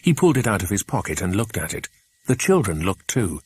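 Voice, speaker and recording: A man reading a children's adventure audiobook, an expressive scripted narration. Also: on the low side, a little fast, breathy edge, dry recording.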